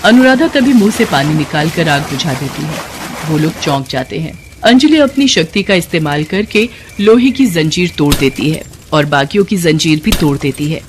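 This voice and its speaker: A woman's voice: low voice, expressive, normal speed, noisy background. A woman delivering scripted narration for a moral tale as the storyteller.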